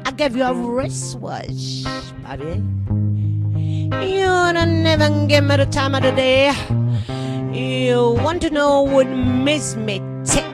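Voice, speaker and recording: A woman's voice: high-pitched, animated, slow. A woman performer singing rock lyrics, with guitar. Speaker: singer and reader in a theatre performance. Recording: loud guitar music behind the voice.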